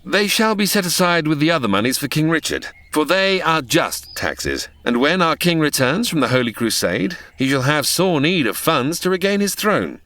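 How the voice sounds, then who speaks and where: An actor playing a gallant, commanding outlaw leader with declamatory archaic speech in old-time radio adventure style. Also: male voice, on the high side, big pitch swings, moderate pace, few pauses, pushed and bright. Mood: noble, resolute.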